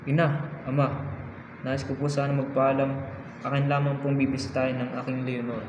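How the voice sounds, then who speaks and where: A man's voice: mid-range voice, monotone, brisk, natural voice. A man playing a dutiful, earnest grown son in an amateur radio drama, reciting politely and formally. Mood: gentle reassurance. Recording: echoey hall, dull sound, clear background noise.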